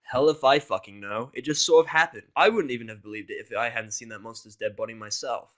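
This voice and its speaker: A man's voice: mid-range voice, quick pace, a bit bright, lightly acted. A man voicing a surly, cynical human character with a blunt, sarcastic, grumbling manner in a story. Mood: irritated, defiant. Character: surly, foul-mouthed, cynical human in pony world.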